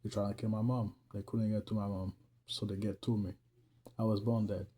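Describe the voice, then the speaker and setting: A man's voice: fairly low pitch, level tone, brisk, warm tone. A man from Haiti with a Haitian accent, talking casually and earnestly to his viewers about faith and growth, with frequent laughs. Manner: earnest, loose and chatty, laughs often. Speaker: faith vlogger. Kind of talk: casual talk to camera.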